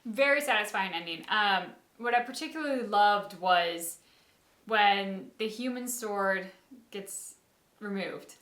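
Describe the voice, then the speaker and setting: A woman's voice: medium pitch, slow, fairly bright tone, roomy sound. A woman giving informal, digressive reaction commentary as a video host, feeling her way through her thoughts.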